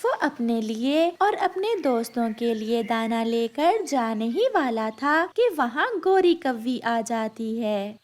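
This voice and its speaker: A woman storyteller with a high-pitched voice. She narrates a scripted children's tale at a slow, measured pace. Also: animated.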